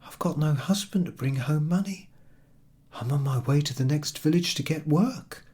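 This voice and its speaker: A man puts on a poor young mother in a storybook. The voice is lifted in pitch and breathy, soft and plaintive, sad yet grateful. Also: normal speed. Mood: weary, sad. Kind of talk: storybook character acting.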